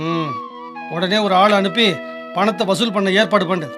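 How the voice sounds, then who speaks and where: A man playing a trusting landowner and father in a Tamil radio drama, with a commanding, expressive delivery. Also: high-pitched, moderate pace, over music, close to an everyday voice. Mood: businesslike.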